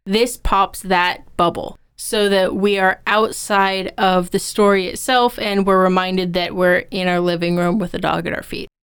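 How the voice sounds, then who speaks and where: A woman with a strikingly clean, resonant voice at a middling pitch. She co-hosts a podcast as a writing instructor, laying out her opinions in an explanatory, conversational way. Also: steady tone, unhurried, few pauses, clear, resonant tone. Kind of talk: conversational craft discussion.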